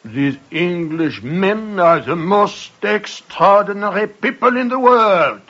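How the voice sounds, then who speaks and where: A worried Frenchman in a vintage radio play, pressing and exasperated. His voice sits a little high with a husky edge. The broadcast sound is dull and lo-fi. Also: animated, takes it slowly, bright, forceful tone, exaggerated voice. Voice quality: anxious, exasperated. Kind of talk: 1950s radio drama acting.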